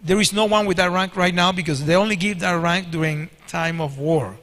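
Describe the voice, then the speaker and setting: A man's voice: high voice, fairly quick, fairly bright tone. A man in his sixties whose first language is Spanish, preaching a sermon with stories and asking the congregation questions. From Spanish-speaking country. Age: 60s. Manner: storytelling, asks the congregation questions. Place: large church hall. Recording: long hall reverb.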